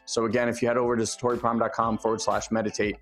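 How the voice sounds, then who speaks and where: A man of middle pitch hosting a podcast, speaking in an upbeat, promotional way. He is eager and friendly as he introduces his guest. Faint music plays behind him. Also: level tone, racing, fairly bright tone. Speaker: podcast host. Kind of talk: enthusiastic show host.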